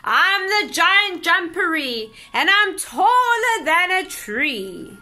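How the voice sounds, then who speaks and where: A woman voicing a loud, boastful, menacing monster in a children's storybook, with slow, drawn-out booming lines. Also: very animated, full character voice.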